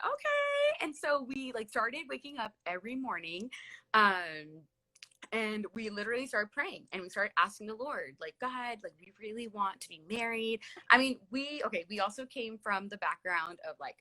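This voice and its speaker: Bright-voiced American woman in her late thirties. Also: on the high side, very animated, moderate pace, rough recording. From California, USA. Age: late 30s. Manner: chatty, peppered with "like" and "literally". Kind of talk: casual personal storytelling on a livestream.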